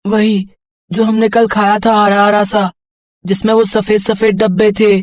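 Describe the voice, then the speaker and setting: A woman's voice: medium pitch, flat delivery, a little slow, darkish tone, no room sound, lightly acted. Woman as small eager boy for cartoon tale; childlike, pleading, dry studio sound. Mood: pleading, innocent. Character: eager, innocent little village boy. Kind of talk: cartoon story voice acting.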